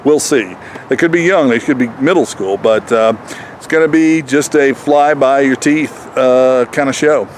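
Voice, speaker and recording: A man's voice: mid-range voice, measured pace. Man vlogging casually over outdoor noise. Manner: chatty, addresses viewers as folks. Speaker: comedian and vlog host. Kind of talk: casual vlog talk to camera. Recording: noisy outdoor and vehicle background.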